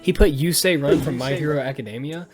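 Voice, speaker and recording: A man's voice: medium pitch, brisk. Casual, sweary man over guitar music. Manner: profane, rambling, slangy. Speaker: podcast host. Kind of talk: casual podcast chat. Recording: music playing behind the voice.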